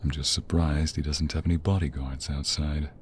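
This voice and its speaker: A man's voice: rumbling low, little pitch movement, moderate pace, slight background noise. A man playing a protective, troubled mobster who speaks earnestly and tenderly to his lover in an ASMR roleplay. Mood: brooding.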